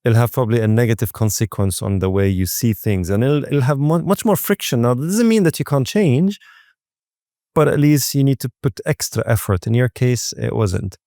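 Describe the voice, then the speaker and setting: Male interview host, soft dark tone, dry sound, effusive conversational delivery with frequent rephrasing. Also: mid-range voice, animated, moderate pace, dry recording. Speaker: podcast host.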